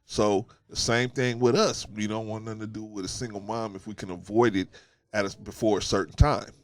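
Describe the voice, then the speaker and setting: Man, stuffy nose, lowish voice, dry close sound, emphatic preachy monologue, keeps repeating himself. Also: fairly even intonation, a little fast, no room sound. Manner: emphatic, preachy, repeats his points, asks "right?". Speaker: host of a commentary channel. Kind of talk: casual opinion monologue.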